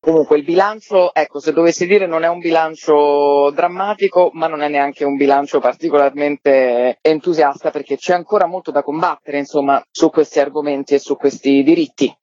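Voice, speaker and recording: Male interview guest, a bit high-pitched, measured and reflective, slightly muffled sound. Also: steady tone, darkish tone, no echo. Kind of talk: spontaneous radio interview talk. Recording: slightly dull dry radio line.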